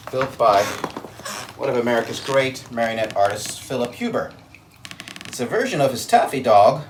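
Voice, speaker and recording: A man hosting a storytime, presenting to children and families in an expressive, emphatic, enthusiastic way. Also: medium pitch. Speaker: storytime host. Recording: roomy echo, clear background noise.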